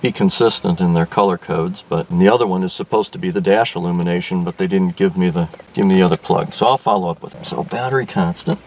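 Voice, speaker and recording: A man's voice: sits low, quick pace, hardly any pauses, a little mellow. An older man explaining a car radio install as he works, thinking aloud with frequent restarts and fillers, recorded inside a car in daylight. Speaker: car restoration vlogger. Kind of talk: casual hands-on explanation. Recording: distant mic with faint background noise.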